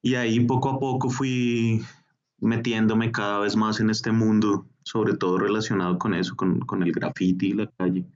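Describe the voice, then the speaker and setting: Low-voiced Bogotá man on a dull line. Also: moderate pace. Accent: Bogotá Colombian. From Colombia. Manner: relaxed, hesitant with fillers. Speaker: guest artist. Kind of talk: casual interview answers.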